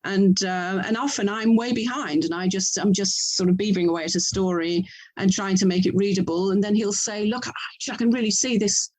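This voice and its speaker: Woman, English accent, medium pitch, enthusiastic, effusive, conversational interview guest. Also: fairly even intonation, brisk, darkish tone, poor audio. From England. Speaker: author and audio drama producer, interview guest.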